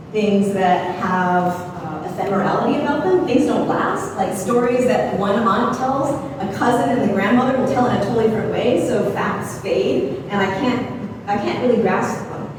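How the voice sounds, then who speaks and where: Canadian woman, reflective artist talk, lots of uh pauses, booming room, noisy. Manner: reflective, unhurried, with frequent uh pauses. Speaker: visiting artist. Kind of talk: artist conversation in a gallery. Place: gallery space, indoors. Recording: echoey hall from far off, noisy.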